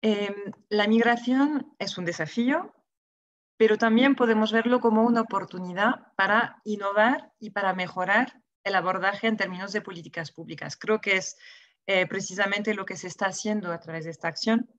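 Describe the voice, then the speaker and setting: A woman's voice: medium pitch, moderate pace, fairly bright tone, no echo. French-accented woman on a dull video call. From France. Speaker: seminar speaker. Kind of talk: formal closing remarks at an online seminar. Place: small indoor room. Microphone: wired earphone mic on a video call.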